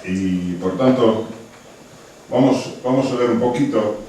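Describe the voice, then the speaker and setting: A man's voice: mid-range voice, unhurried, dark tone. A man from Estonia speaking with an Estonian accent, giving a conference talk in a hesitant, friendly way with frequent restarts. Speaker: conservation researcher giving a talk. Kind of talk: conference presentation. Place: lecture hall. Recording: very echoey hall from far off, faint noise.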